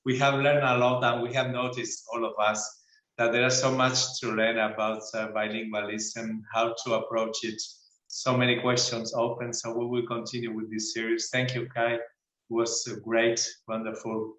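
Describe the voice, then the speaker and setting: Male director, flat intonation, formal closing remarks in a roomy space. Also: mid-range voice, normal speed. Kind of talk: formal thanks and announcements. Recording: echoey room sound.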